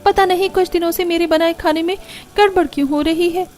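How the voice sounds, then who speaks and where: A woman's voice: extremely high pitch, steady tone, a little fast, darkish tone, a little hiss or hum, voice pushed up. A woman voicing an earnest, put-upon young daughter-in-law in a cartoon family drama, polite and plaintive. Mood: plaintive, weary.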